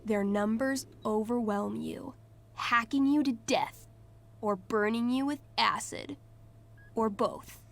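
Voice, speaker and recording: A woman's voice: fairly high pitch, slow pace, gravelly. A woman playing a young game master in a video game, who tells tabletop fantasy stories and chats casually. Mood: dramatic, grim.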